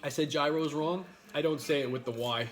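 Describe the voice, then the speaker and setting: A man's voice: fairly high pitch, fairly quick. A man in his thirties with a New York accent, rambling and joking casually as he eats. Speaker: mukbang host. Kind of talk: casual chat while eating.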